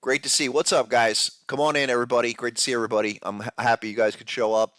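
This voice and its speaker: A man hosting a live podcast about trading charts, talking in a casual, loose way with a head cold that deepens his voice. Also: level tone, quick pace, fairly bright tone, dry recording. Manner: casual, loose, with fillers and restarts. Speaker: podcast host. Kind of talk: live-stream market analysis chat.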